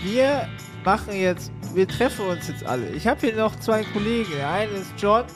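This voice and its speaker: A man's voice: high-pitched, animated, normal speed, over music. A man playing a cocky, blunt, slangy street tough who bosses people around in an improvised comedy audio play. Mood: bossy.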